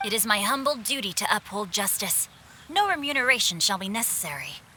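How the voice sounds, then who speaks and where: A man's voice: high-pitched, big pitch swings, a little fast, sharp tone, slight background noise, heavily acted. A voice actor playing a refined, gallant young nobleman in a video game, with formal, courtly phrasing.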